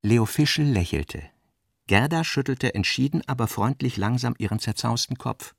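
A man narrating a literary radio drama in a measured, sober manner. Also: medium pitch, gravelly, dry recording, clean studio sound.

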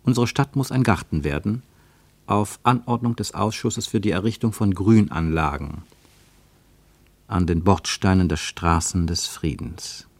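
Male narrator, lowish voice, measured descriptive radio drama reading, dry studio. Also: dry recording. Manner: measured, descriptive, building long lists.

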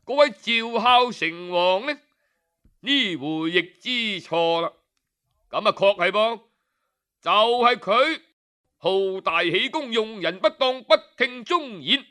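A man's voice: pushed and bright, clean tone. A man with a Cantonese accent telling a historical tale in traditional storytelling style, dramatic and sighing between phrases. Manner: dramatic, sighing, shifts pitch for quoted figures.

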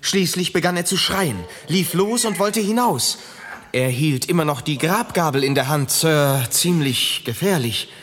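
An actor in a German radio drama playing a deferential asylum attendant who reports respectfully and a little hesitantly. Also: male voice, fairly high pitch, lively intonation, unhurried, a bit bright. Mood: dutiful, uneasy. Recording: clear background noise with clicks.

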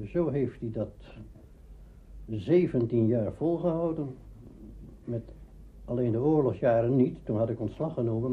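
A man's voice: medium pitch, breathy edge. An older man recalling his working past in an informal interview as a writer. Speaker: radio play writer interviewed. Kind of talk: spoken reminiscence. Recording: dull archive interview recording.

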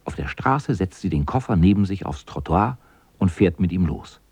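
A man narrates a radio play in a lowish, gravelly voice with a husky edge. His scripted storytelling moves through wide, animated changes of pitch. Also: normal speed, no room sound.

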